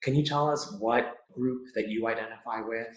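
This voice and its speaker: A man's voice: mid-range voice, fairly even intonation, normal speed. Young man hosting in an echoey room. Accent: American. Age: young adult. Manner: friendly, with scripted intro. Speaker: podcast host. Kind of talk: podcast interview.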